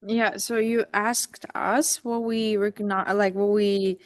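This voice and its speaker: A woman's voice: mid-range voice, little pitch movement, slow. A woman taking part in an online workshop, politely asking questions over a video call. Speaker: workshop participant.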